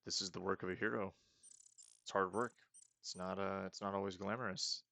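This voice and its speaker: A man's voice: low voice, steady tone, brisk, vocal fry, sharp tone, dry recording. A man casually commenting as he plays a game, chatty and self-mocking as he thinks aloud. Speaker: let's play host.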